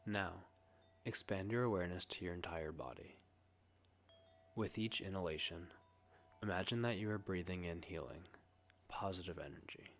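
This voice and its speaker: A man leading a scripted guided meditation, speaking gently and soothingly in a hushed way with long pauses. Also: low-pitched.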